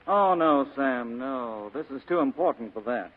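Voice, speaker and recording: An actor playing an eager, flirtatious private detective's partner in a 1940s radio drama style. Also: male voice, mid-range voice, lively intonation, unhurried, lightly acted. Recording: tinny band-limited vintage radio broadcast.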